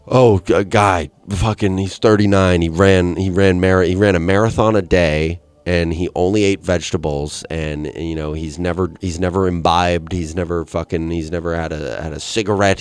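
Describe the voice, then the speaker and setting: A man in his twenties hosting a podcast, ranting in a rambling, profane and deadpan way. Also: deep voice. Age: 20s. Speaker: podcast host. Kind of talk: solo comedic rant.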